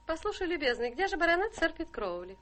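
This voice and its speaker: A young governess with grand airs. Her voice is high and bright, with crisp, theatrical radio play diction and an ambitious, haughty temper. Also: big pitch swings, quick pace, heavily acted. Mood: indignant. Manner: imperious, crisp, turning sweetly polite.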